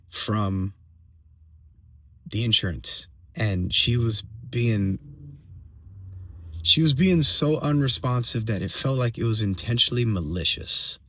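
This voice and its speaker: A man with a slightly hoarse voice giving a casual personal update as a vlogger, venting in a halting way, repeating phrases and checking in with tag questions. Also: fairly low pitch, fairly bright tone, dry recording. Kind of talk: casual solo monologue, venting.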